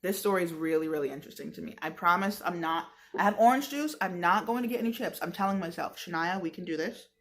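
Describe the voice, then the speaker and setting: A woman's voice: fairly low pitch, fast talker, hardly any pauses. An American woman reading a book aloud like a teacher, with casual explanatory asides spoken directly to her listeners in a conversational, chatty way. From New York state, United States.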